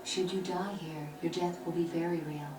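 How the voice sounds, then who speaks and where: A woman voicing a calm female sorceress guide with a measured, composed manner, heard from a video game. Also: fairly low pitch, over music, natural voice. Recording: game audio from far off in an echoey room, with noise.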